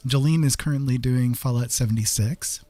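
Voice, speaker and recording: A man's voice: medium pitch, moderate pace, warm tone, dry recording. A man casually chatting to viewers as he hosts a live art stream, rambling and hesitant as he goes. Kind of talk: casual livestream chat with viewers. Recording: music playing behind the voice. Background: background music.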